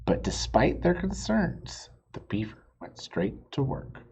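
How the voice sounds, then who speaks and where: A man reads a children's storybook aloud expressively, pausing for simple asides and questions to young listeners. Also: medium pitch, very animated, a little mellow, whispered. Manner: asks the listeners questions, explains words simply.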